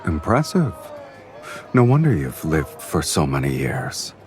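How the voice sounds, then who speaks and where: An actor playing a cool, teasing, powerful male fiend in a romantic fantasy game, speaking in a smooth, measured, understated way. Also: very deep voice, big pitch swings, a little mellow, some chatter behind, a little hiss or hum, full character voice. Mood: cool, amused.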